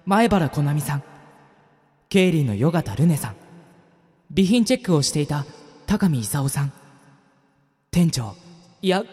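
A man's voice: on the high side, a little mellow, big-room sound. A man playing a timid young part-time gym worker who also narrates the radio drama. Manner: timid, startled. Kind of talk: scripted first-person radio drama narration.

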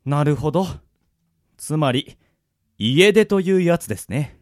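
An actor playing an easygoing, roguish fencing instructor in a radio drama, smoothly polite and laid-back, with music behind him. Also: male voice, on the high side, animated, unhurried, no echo. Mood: thoughtful. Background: background music.

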